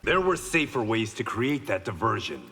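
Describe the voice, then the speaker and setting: An actor playing a stern, honorable reformed-gangster swordsman in a video game, speaking in a measured, terse, resolute way. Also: fairly low pitch, big pitch swings, sharp tone, breathy edge, full character voice. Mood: earnest. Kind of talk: video game fight banter. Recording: reverberant hall, faint background noise.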